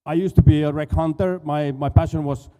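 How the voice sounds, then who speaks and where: A man's voice: on the high side, fairly even intonation, brisk, mellow. A Finnish-accented man in his forties giving a casual expo stage talk, often stumbling and repeating words. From Finland. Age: 40s. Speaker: conference speaker, dive school owner.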